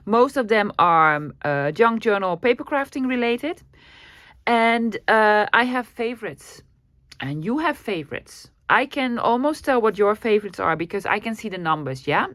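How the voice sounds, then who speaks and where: Middle-aged Dutch-accented woman, friendly and self-deprecating, talking casually at her craft desk, dry close recording, mid-pitched voice. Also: a bit bright, dry recording. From Netherlands. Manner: friendly, self-deprecating, laughs often, many ums. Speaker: craft channel host. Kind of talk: casual chatty craft vlog.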